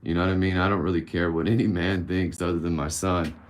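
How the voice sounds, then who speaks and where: A man's voice: deep voice, fairly even intonation, fast talker, a little hiss or hum. A man around fifty hosting a casual live-stream Q&A, candid and blunt, rambling and swearing casually. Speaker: livestream host answering viewer questions.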